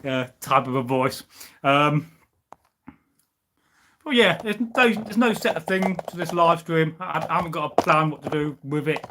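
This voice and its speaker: A man's voice: a little high, measured pace, sharp tone, a little hiss or hum. Chatty rambling man on a live stream. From United Kingdom. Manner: rambling, chatty, many fillers and restarts. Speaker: history channel host. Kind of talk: casual live stream chat. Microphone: camera on a tripod.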